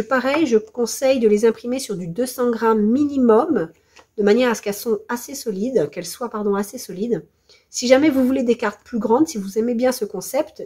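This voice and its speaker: A woman with a French accent walks through a craft project, her voice hoarse and worn from the flu. Her tone is mellow and soft, and she talks in a hesitant, apologetic way. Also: fairly high pitch. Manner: hesitant, apologetic, self-deprecating. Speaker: scrapbooking video host. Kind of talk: crafting tutorial presentation.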